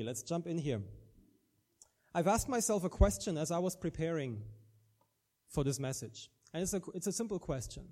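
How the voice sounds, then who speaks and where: A man's voice: sits high, dark tone. A man from Germany with a German accent, preaching an earnest sermon and often restarting his words.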